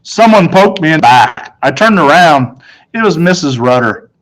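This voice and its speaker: Higher-pitched man reading a children's story. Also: expressive, moderate pace, a bit bright, low-quality recording. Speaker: storybook narrator. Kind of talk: children's novel read aloud, first-person narration.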